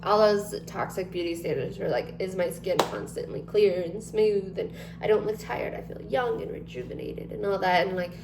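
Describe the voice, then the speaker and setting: A woman's voice: mid-range voice, moderate pace, vocal fry, hardly any pauses. A woman in her twenties chatting casually to camera, rambling with many ums and likes. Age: 20s. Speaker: vlogger. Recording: echoey room with noticeable hum.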